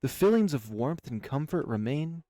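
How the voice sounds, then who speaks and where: A man reading expressive first-person narration from a visual novel, with music behind him. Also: on the high side, normal speed, dry recording, studio quality.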